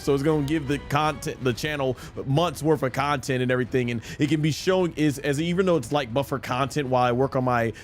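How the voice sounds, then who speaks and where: A man giving casual, rambling live-stream gaming commentary, thinking aloud as he goes. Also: mid-range voice. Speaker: live streamer and amateur voice actor.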